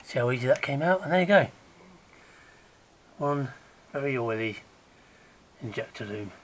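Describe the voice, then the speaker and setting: A man with a croaky voice gives a casual, hands-on how-to while working on an engine in a garage, chatting along and dropping to a mutter as he works. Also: medium pitch, lively intonation, moderate pace, a little hiss or hum. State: croaky, recovering from illness. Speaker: DIY car repair vlogger.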